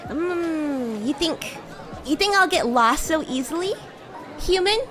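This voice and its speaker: A woman's voice: sits high, lively intonation, slow pace, crowd noise. A woman voicing a bratty young demon schoolgirl in a roleplay stream, teasing and stammering when flustered. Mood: smug, teasing. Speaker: VTuber streamer playing a character. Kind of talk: improvised roleplay livestream, chatting with viewers.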